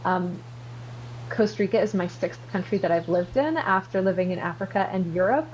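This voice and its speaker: A woman's voice: mid-range voice, fairly quick, darkish tone, dull audio, a little hiss or hum. An American woman from Virginia, speaking conversationally as an interview guest. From Virginia, United States. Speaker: interview guest, company co-founder.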